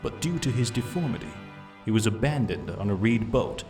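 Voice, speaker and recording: An obsessed researcher, played by a male actor, reads out his findings in an earnest, expository voice. His pitch is low, and clear music plays behind. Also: expressive, normal speed, a little mellow, natural voice. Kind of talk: fan manga dub, narrated notes.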